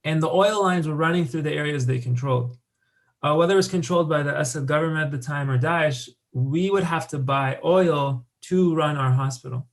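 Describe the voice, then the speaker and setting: A young man from the United States presents at a public health conference. His voice sits a little high for a man. He speaks in a loose, conversational way, pausing on fillers and restarting his sentences as he explains each slide.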